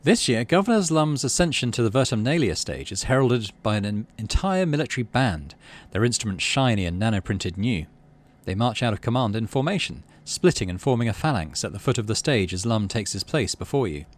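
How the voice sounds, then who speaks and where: A man, middle-aged, hosting a game playthrough, mixing casual commentary with expressive story reading. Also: lowish voice, a little fast, few pauses. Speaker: let's play host.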